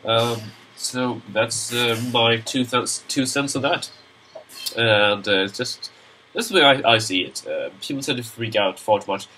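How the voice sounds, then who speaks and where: A man's voice: mid-range voice, a little slow, pushed and bright, slight background noise. A young man with a Swedish accent, nasal from a cold, narrating a screen-recorded modeling tutorial in a rambling, chatty way with many fillers. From Sweden.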